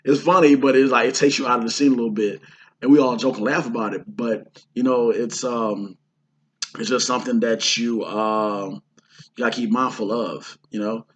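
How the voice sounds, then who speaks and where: A hoarse man from the Houston area chatting casually about his indie film shoot, rambling with lots of fillers and restarts. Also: medium pitch, steady tone, moderate pace, slight background noise, low-quality recording. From Houston, Texas area. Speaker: indie filmmaker and actor. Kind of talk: casual vlog talk.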